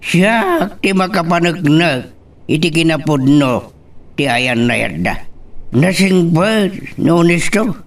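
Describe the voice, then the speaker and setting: A man's voice: a little high, expressive, slow, recorded from a distance. A man playing a reproachful, weighty elderly father in an Ilocano radio love drama. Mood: pleading, earnest.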